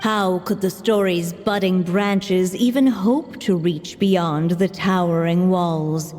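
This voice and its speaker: A woman voicing a serene, mysterious storybook narrator in a game, speaking slowly and solemnly in a poetic manner. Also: lowish voice, fairly even intonation, a little mellow, in a large hall, faint music behind. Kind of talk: fairy-tale narration in a game.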